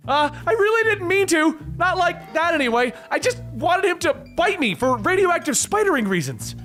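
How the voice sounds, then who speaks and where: A man's voice: extremely high pitch, sing-song, moderate pace, fairly bright tone, faint music behind, pitched above the performer's own voice, heavily acted. A man voicing a chatty, impulsive, easily flustered young female antihero in a comic book story, stammering and dramatic. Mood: defensive.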